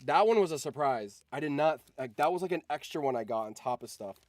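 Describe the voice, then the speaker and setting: A man's voice: fairly high pitch, expressive, quick pace, a little mellow, no echo. A man chatting casually on a livestream in an informal, sweary way. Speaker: streamer.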